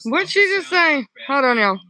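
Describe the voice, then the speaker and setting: A woman livestreaming casual chat to her viewers, hoarse from screaming, rambling and apologetic. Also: sits high, very animated, normal speed, bright, forceful tone, low-quality recording.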